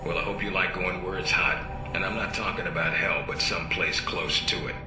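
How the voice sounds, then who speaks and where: Low, authoritative actor as spy boss. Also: male voice, fairly even intonation, natural voice. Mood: businesslike, needling. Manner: brisk, authoritative, sardonic. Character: stern, gruff male spy agency superior. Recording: roomy, loud music underneath.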